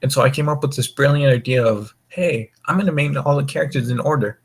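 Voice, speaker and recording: A man's voice: medium pitch, fast talker, breathy edge. A young man hosting a gaming voice call, rambling casually in a laid-back way and swearing often. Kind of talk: casual group chat, rambling. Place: online voice call.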